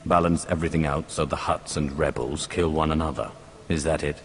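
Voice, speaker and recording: An actor playing a cold, imperious Sith lord in a video game, speaking in a curt, authoritative way. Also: male voice, a bass voice, fairly even intonation, a little fast, a little hiss or hum. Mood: cold, calculating. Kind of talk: video game cutscene acting. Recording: music behind.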